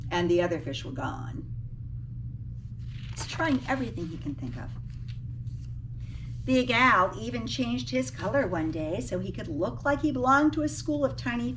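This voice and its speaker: Female storybook reader, teacher type, higher voice, animated emphasis, recorded away from the mic with some background noise. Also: recorded from a distance, rough recording. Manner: animated, dramatic emphasis with drawn-out key words. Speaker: teacher reading a picture book aloud. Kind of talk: expressive children's storybook read-aloud with asides to listeners.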